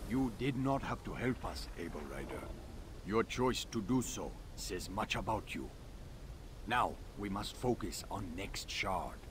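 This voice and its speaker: A man playing a solemn, dignified alien clan leader in a video game, speaking in a measured, formal, grave way. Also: on the low side, animated, background noise. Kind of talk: video game cutscene acting.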